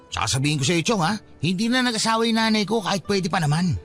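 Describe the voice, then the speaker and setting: A man's voice: high-pitched, animated, fast, over music. An actor playing a teasing young man, a devoted mama's boy, in Filipino radio drama style.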